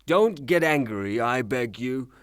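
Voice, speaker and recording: An actor playing a blunt, hot-tempered creditor in a theatrical audio drama, speaking in a mocking, brusque way. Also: male voice, mid-range voice, lively intonation, takes it slowly, smooth, dry recording, studio quality. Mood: stubborn, insistent.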